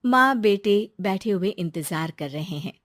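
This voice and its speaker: A woman's voice: mid-range voice, animated, a little slow, no room sound, clean studio sound. A woman reading a scripted story as its narrator.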